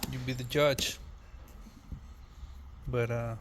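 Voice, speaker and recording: Man casually reviewing gadgets over loud background noise. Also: mid-range voice, little pitch movement. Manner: offhand, halting, thinking aloud. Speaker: amateur product reviewer. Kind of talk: casual unscripted product review.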